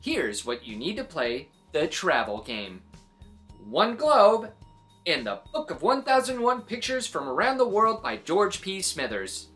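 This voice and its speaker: Low-voiced woman, lively children's storyteller. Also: unhurried, soft background music. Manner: exclaiming, expressive, adds little reactions. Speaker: children's story reader and host. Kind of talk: animated read-aloud storytelling for young children.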